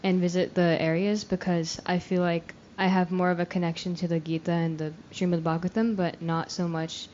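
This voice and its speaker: Modest young woman with a lower voice. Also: flat delivery, a little fast, hardly any pauses. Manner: shy, modest, laughs softly. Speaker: youth retreat participant. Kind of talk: casual self-introduction. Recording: dull lo-fi recording with faint noise.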